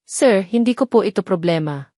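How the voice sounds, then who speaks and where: A woman's voice: mid-range voice, expressive, normal speed, mellow, dry recording, clean studio sound, natural voice. Woman narrator as a defiant police major. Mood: indignant, firm. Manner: firm, indignant.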